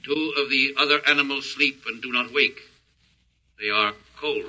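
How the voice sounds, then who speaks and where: Radio drama role: alien conqueror, emotionless and logical, piercingly bright tone, mid pitch, stiff formal diction. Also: male voice, normal speed, low-quality recording. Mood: puzzled, literal. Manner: flat, formal, stilted precise phrasing. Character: emotionless male alien conqueror, precise, literal-minded, thousands of years old. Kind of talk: old radio drama acting.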